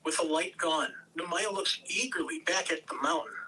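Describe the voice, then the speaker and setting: A man's voice: fairly high pitch, moderate pace, bright, forceful tone, slightly rough. A man reading out stage directions as scripted narration at an amateur table read. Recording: distant room mic, faint noise.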